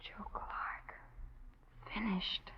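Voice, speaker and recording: A woman's voice: drawn-out words, whispered. An actress playing a world-weary, guarded young nightclub woman in a 1940s radio drama, speaking hesitantly and wistfully. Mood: stunned. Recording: dull 1940s radio recording.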